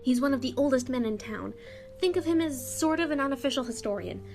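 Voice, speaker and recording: A young female shop clerk in a radio play, performed by a woman, chatty and outspoken. Her voice sits a little high. She talks in slangy, folksy confidences with faint music underneath. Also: expressive, a little fast. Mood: friendly, confiding.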